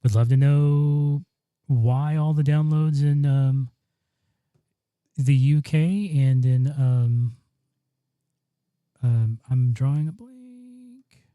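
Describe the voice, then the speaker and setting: A man's voice: mid-range voice, steady tone, extremely slow, pauses often, warm tone, no echo. A man co-hosting a podcast in casual chat, rambling with many ums and restarts, on a studio dynamic mic. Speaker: podcast co-host. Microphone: broadcast dynamic studio mic.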